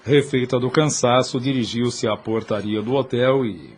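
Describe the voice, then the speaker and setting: Male narrator, medium pitch, breathy husk, scripted literary storytelling. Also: moderate pace.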